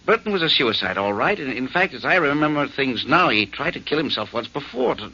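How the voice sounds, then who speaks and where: A husky, anxious, guilt-ridden friend. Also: male voice, medium pitch, sing-song, fairly quick, pushed and bright, heavily acted. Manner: worried, stammering. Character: anxious guilt-ridden adult man, sleepless. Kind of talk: 1940s radio acting. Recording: dull old radio recording.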